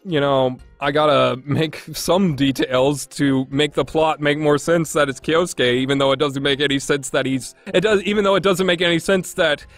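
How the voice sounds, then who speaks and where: A man's voice: a little high, fairly even intonation, moderate pace, hardly any pauses, soft background music. A man giving a casual, opinionated review as a podcast host, with a sarcastic, exasperated manner.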